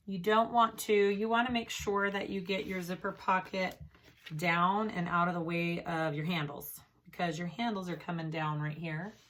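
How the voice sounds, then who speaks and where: A woman's voice: lowish voice, fairly even intonation, normal speed, fairly bright tone. A woman hosting a casual sewing tutorial, chatty and easygoing as she thinks aloud while working, her voice slightly strained from a recent illness. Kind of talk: casual step-by-step craft tutorial.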